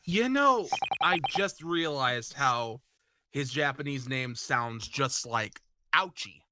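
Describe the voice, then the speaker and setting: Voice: medium pitch, lively intonation, slow, sharp tone. A person chatting casually and jokily while playing and voicing a video game with a friend. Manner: swears casually, jokey. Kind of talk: casual gaming chat.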